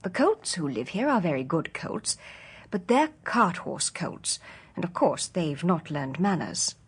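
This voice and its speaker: Woman voicing a gentle, dignified mother horse, slightly husky. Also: lowish voice, big pitch swings, heavily acted. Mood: gently earnest. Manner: measured, earnest, instructive. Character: gentle, dignified mother horse advising her young colt.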